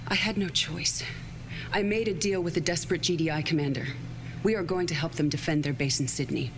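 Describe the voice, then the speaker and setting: A cold ambitious military leader. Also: female voice, low voice, brisk, background noise. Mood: defiant. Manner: formal, controlled, authoritative. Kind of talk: game cutscene acting.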